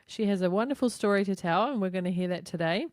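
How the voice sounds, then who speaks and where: A woman's voice: mid-range voice, fast, a little mellow, dry recording, clean studio sound. A woman hosting a podcast, introducing her guest and asking conversational questions. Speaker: podcast host.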